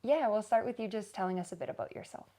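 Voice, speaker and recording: A woman hosting an interview show, opening with a bright, upbeat introduction. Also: medium pitch, quick pace. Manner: upbeat, scripted host intro. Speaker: show host. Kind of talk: friendly interview questions.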